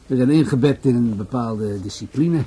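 A husky, mellow-voiced police commissioner acted by a man in a radio play. He is musing and world-weary, weighing things up in a deliberate, hesitant way. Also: mid-range voice, natural voice, poor audio. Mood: musing, resigned. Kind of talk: Dutch radio play acting.

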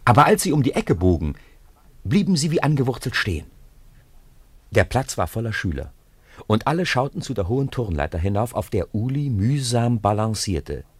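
A man narrating a 1950s radio play in an expressive storytelling manner. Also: medium pitch, normal speed, breathy edge, no room sound. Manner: expressive storytelling with lively intonation.

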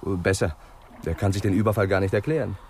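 An actor playing an earnest, deferential junior police inspector in a radio crime drama, speaking haltingly and tentatively. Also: male voice, low-pitched, a little mellow, a little hiss or hum. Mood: hesitant. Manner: halting, tentative, puzzling things out. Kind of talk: 1960s German radio crime drama acting.

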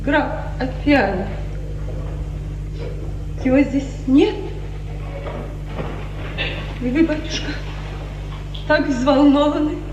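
High-voiced anguished young daughter. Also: female voice, drawn-out words, long pauses, highs cut off. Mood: anxious, subdued. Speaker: actress. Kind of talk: 1950s Soviet radio tragedy acting. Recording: noisy, echoey 1950s radio recording.

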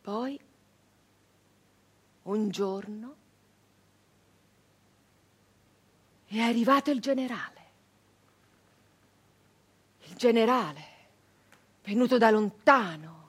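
High-voiced, fierce woman brigand. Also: breathy edge, exaggerated voice. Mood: solemn. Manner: intense, deliberate, with long pauses, swinging from hushed to outcry. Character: fierce bitter 19th-century female brigand telling her story. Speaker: stage actress. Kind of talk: dramatic theatrical monologue.

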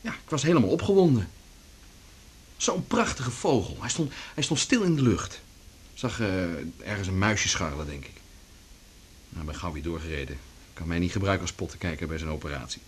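An actor playing a gentle but excitable cellist, a coaxing and musing male partner, in a naturalistic radio drama. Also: low voice, fairly quick, breathy edge. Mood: musing, delighted.

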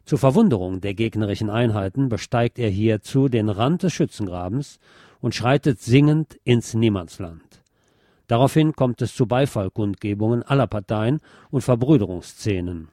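Mellow-voiced man presenting a film review. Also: lowish voice, normal speed, dry recording, clean studio sound. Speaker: radio show host. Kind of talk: film review, semi-scripted narration.

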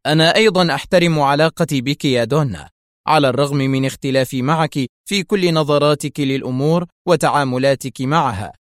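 A man's voice: mid-range voice, no room sound, studio quality, natural voice. A man playing a stern, principled male intelligence officer in audiobook dialogue, speaking firmly and with emphasis. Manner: firm, strict, emphatic.

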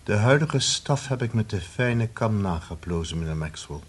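An actor in a radio play as a stiff, humourless male security officer, formal and curt, with a slightly husky voice. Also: fairly low pitch, expressive, normal speed. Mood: formal, assured. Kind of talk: radio drama acting.

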